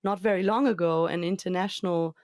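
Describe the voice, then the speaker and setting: A woman reporter giving a chatty, lively field report to a host, often opening with "so". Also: fairly low pitch, brisk, no echo, studio quality. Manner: chatty, lively, starts lines with "so".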